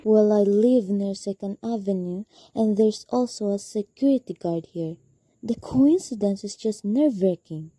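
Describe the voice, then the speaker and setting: A woman's voice: medium pitch, moderate pace, warm tone, dry recording, close to an everyday voice. A young woman acting in a student radio drama as an anxious, demanding invalid wife alone at night. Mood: agitated.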